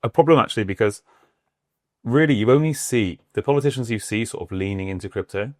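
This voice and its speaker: British man hosting, chatty and low. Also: fast talker, darkish tone, no echo, studio quality. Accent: British English. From Bath, South West England. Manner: chatty, stumbles on his questions. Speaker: podcast host. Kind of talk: casual interview chat.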